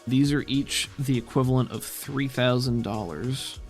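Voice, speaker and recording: Relaxed man explaining game rules. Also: mid-range voice, a little slow, soft background music. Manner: casual, thinks aloud, swears freely. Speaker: game master.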